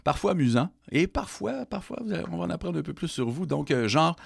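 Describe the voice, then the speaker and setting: A man hosts a radio interview, playful and teasing, his pitch moving up and down a great deal. He rattles off quick questions in a dry, close studio sound. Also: lively intonation, creaky, husky, no echo. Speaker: radio host.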